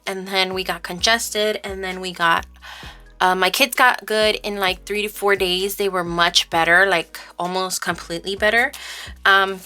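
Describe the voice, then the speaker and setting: Woman, casual budgeting vlogger: bright, forward voice, informal halting delivery, faint music underneath. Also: mid-range voice. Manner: informal, halting storytelling interleaved with counting. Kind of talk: casual chat while counting cash aloud.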